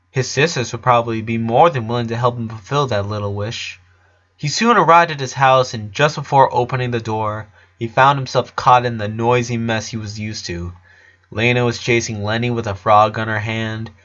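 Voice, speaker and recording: A man's voice: mid-range voice, fairly bright tone, highs cut off. A man reading a scripted story aloud as its narrator.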